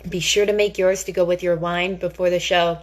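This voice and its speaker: A woman's voice: fairly low pitch, level tone, fairly quick. A woman giving a casual cooking demonstration in a chatty, theatrical, playfully enthusiastic way.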